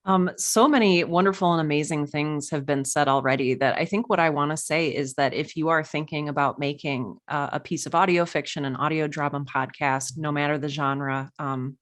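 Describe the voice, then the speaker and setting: Low-voiced woman, encouraging panelist. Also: fairly even intonation, normal speed, hardly any pauses, no room sound, studio quality.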